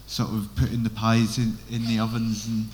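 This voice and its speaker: A man's voice: on the low side, normal speed, a little hiss or hum, rough recording. A man, not originally from Scotland, talking as a guest on a live podcast panel, hesitant with many restarts. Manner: hesitant, restarts, flat delivery. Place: live event venue.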